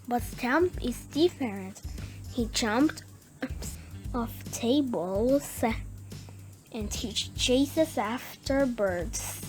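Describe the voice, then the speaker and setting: A woman reading a children's bedtime story aloud in short, halting phrases, word by word. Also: on the high side, expressive, a little mellow, poor audio. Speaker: story reader. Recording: music playing behind the voice. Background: music.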